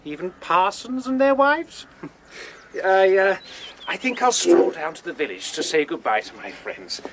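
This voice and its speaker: A genial English parson in a radio play. His high voice swoops up and down in a mild, good-humoured way, with clear noise behind it. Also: sing-song, a little slow, full character voice. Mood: chuckling, mild. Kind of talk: radio drama acting.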